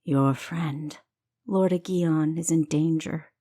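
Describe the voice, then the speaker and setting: Woman acting secretive female spy, deep voice, grave, hushed, measured, earnest, audiobook character. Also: fairly even intonation, no echo, clean studio sound. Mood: hushed, reassuring. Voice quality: deep feminine voice.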